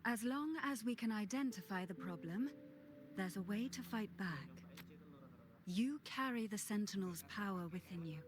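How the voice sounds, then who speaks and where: Resolute noblewoman, played by an actress: mid-pitched, measured, firm. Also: lively intonation, noisy background, close to an everyday voice. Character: composed noble woman, resolute. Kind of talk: game cutscene voice acting. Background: game music.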